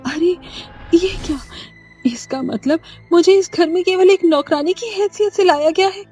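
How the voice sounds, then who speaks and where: A woman's voice: very high-pitched, measured pace, dark tone, faint music behind. A woman playing a wronged, despairing young bride in a melodramatic cartoon story. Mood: shocked, despairing.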